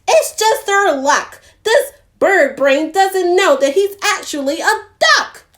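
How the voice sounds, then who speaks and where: A flustered storybook goose, voiced by a woman, very high and shrill with indignation. She scolds in emphatic, deliberate phrases, every word a reproach. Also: animated, fairly bright tone, exaggerated voice.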